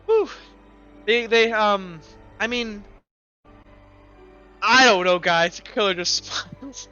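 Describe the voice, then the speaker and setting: A man with an excitable, stammering way of talking, casually narrating a tabletop game as game master. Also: high voice, takes it slowly, dull audio. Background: faint music.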